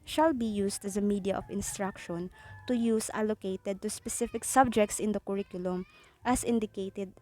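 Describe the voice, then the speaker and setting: A woman's voice: mid-range voice, fairly even intonation, normal speed, darkish tone. A young woman from the Philippines with a Filipino accent, presenting a class report with frequent restarts and fillers. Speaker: student presenter.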